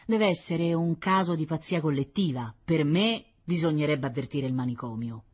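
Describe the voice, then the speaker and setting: A man's voice: a little high, moderate pace, breathy edge. A woman voicing a sarcastic male fire brigade switchboard operator who mocks the caller. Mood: dismissive. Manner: mocking, dismissive.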